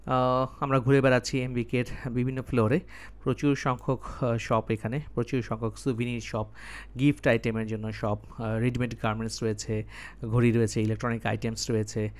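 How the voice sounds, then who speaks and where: A man's voice: medium pitch, flat delivery, a little fast. Bangladeshi man narrating a travel vlog. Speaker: travel vlogger.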